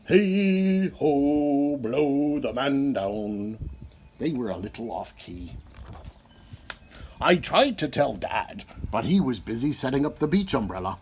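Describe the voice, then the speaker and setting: A man reading a children's picture book aloud in an expressive storytelling style. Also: mid-range voice, a little hiss or hum.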